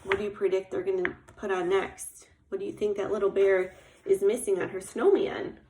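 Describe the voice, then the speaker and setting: A woman's voice: mid-range voice, fairly even intonation, moderate pace, mellow, a little hiss or hum. A woman teacher reading a children's story aloud expressively and explaining it simply with questions. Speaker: kindergarten teacher. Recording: echoey room.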